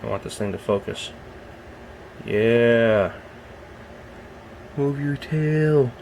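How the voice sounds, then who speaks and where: A young man with a very low voice, just out of bed, rambling softly in a casual vlog as he films his snake at home. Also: very slow, gravelly, a little hiss or hum. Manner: rambling, soft-spoken, keeps his voice down. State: just woke up. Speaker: reptile keeper filming his snake. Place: at home beside a reptile rack.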